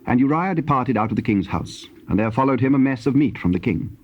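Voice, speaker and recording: Male scripture narrator, British, solemn, measured, mid-pitched, dull cassette sound with faint hiss. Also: animated. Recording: dull, hissy old cassette tape.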